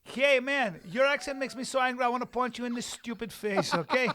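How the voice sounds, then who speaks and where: High-pitched brash Brazilian fighter. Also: male voice, moderate pace, fairly bright tone. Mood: angry, amused. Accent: Brazilian Portuguese, put on. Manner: boastful, emphatic, repetitive, foul-mouthed. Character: brash, hot-tempered male Brazilian jiu-jitsu fighter pitching supplements. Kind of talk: improvised comic ad read.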